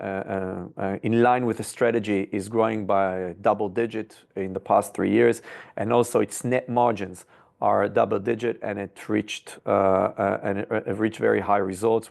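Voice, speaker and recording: A man presents business results in a low, creaky voice. His intonation stays very flat. He speaks as a company executive, often hesitating and repeating words as he works through his points. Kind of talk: corporate earnings presentation.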